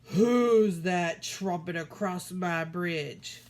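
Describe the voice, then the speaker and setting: A woman voicing a big, mean, hungry male troll in a storybook reading, speaking slowly with threats. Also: on the low side, darkish tone. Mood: menacing.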